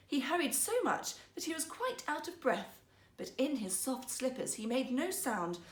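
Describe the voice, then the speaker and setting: A woman storyteller reading a fairytale for children in a theatrical, expressive manner. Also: a little high. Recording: echoey room.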